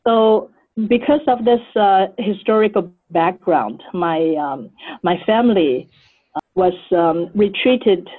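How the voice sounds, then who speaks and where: A woman's voice: mid-range voice, takes it slowly, slightly rough. Older woman on phone-like line. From Taiwan. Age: 70s. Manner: thoughtful, hesitant, many fillers. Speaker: author guest. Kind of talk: conversational interview answers.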